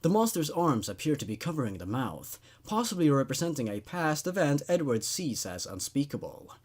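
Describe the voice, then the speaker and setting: A man plays a probing psychiatrist in a horror storybook. He is calm and clinical, with a husky edge to the voice. His pitch moves widely as he muses with secret obsession. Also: moderate pace. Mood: intrigued.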